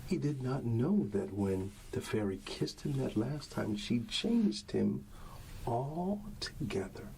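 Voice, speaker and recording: A man speaking softly and soothingly as a father at bedtime in an ASMR roleplay, often trailing toward a whisper, with a creaky voice. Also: mid-range voice, big pitch swings, slow pace, a little hiss or hum, poor audio. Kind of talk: soft ASMR bedtime storybook reading, talking to a child.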